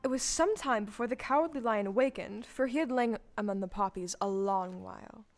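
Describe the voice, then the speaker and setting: A woman's voice: on the high side, fairly quick, soft background music, natural voice. A woman playing the storybook narrator in an audio play, reading scripted narration. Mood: storytelling.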